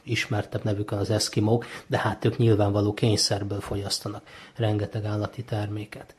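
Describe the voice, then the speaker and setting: A man explaining things as an expert interviewee in a measured, explanatory manner. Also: lowish voice, darkish tone, husky.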